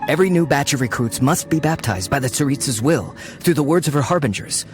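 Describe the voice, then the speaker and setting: A man's voice: medium pitch, lively intonation, quick pace, lightly acted. A man playing a deferential, dutiful soldier in a video game, speaking formally and respectfully to his superior. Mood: earnest, insistent. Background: prominent background music.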